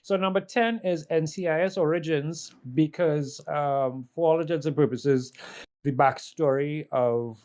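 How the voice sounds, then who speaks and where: A man with a somewhat high voice speaks as a podcast panelist. He goes through a ranked list in a casual, explanatory style, adding his own opinionated asides. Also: steady tone, unhurried, a little mellow. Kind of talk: casual list review chat.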